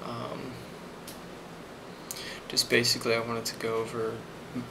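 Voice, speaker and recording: A man playing a friendly, rambling office boss in an ASMR role play, speaking softly and hesitantly with a reassuring manner and a creaky voice. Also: mid-range voice, level tone, measured pace. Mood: calm, casual. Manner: soft-spoken, hesitant, reassuring. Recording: loud constant background noise.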